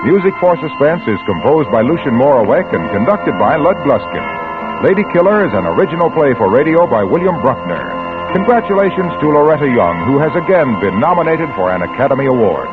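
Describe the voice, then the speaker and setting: A man's voice: medium pitch, sing-song, brisk. A man working as a radio announcer, reading scripted credits and promotions in 1940s style. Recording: tinny old radio, music behind.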